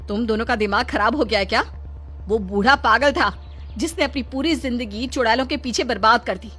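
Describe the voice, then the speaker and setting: Woman playing a loud, furious strict mother. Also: a little high, brisk, slightly rough, faint music behind, voice pushed up. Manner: sharp, threatening scolding. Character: angry, strict mother scolding her two children. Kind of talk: Hindi cartoon story acting.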